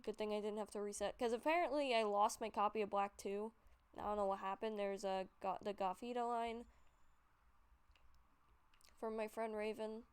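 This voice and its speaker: A woman's voice: medium pitch, fairly even intonation, normal speed, long pauses, clean tone. A woman with a stuffy nose giving rambling, casual commentary over gameplay, with frequent fillers and self-corrections. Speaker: YouTuber showing a game collection.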